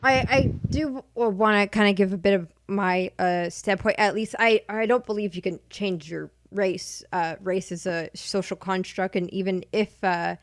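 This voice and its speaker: A woman hosting a live stream chat, speaking hesitantly with many pauses and restarts. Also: mid-range voice, fairly even intonation, no echo.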